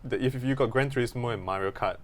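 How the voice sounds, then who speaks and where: A man co-hosting a gaming podcast, chatting casually in a jokey, animated storytelling way. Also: medium pitch, fast. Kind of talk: casual chat about video games.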